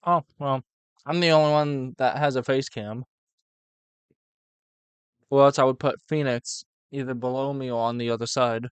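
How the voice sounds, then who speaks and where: Clear-voiced man streaming casually. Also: mid-range voice, fairly even intonation, normal speed, long pauses, no room sound. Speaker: livestream host reacting to videos. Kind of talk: casual chat over a reaction stream.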